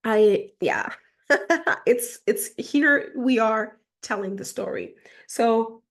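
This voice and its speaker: A woman's voice: a little high, slow pace. A woman from Germany talking as a personal mentor in a casual vlog monologue, chatty and reflective, with lots of "like" fillers. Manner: chatty, reflective, fills speech with "like".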